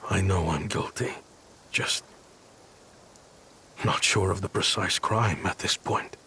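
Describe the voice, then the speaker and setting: An actor playing a remorseful, gruff outcast man in a video game, halting and subdued. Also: deep voice, bright, forceful tone, a little hiss or hum, heavily acted. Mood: resigned.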